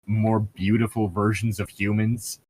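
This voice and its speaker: A man's voice: lowish voice, flat delivery, a little slow, darkish tone, a little hiss or hum. A man co-hosting a casual book commentary, swearing freely and rambling through his explanations with plenty of "like". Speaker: podcast co-host reading and critiquing a book.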